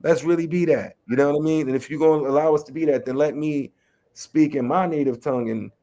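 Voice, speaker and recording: A man from Chicago's West Side with a local accent and a slight New York tinge, a rapper and educator speaking in a relaxed, streetwise way as an interview guest. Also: on the high side, fast talker. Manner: relaxed, streetwise, lots of "you know what I mean". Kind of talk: casual interview talk.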